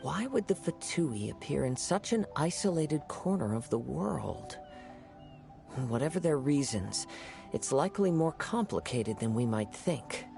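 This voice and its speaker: A man playing a gentle, courteous young wandering samurai in a video game, with a measured, polite and thoughtful manner. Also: a little high, big pitch swings, gravelly, full character voice. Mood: calm concern. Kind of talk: animated video game voice acting. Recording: game music behind the voice.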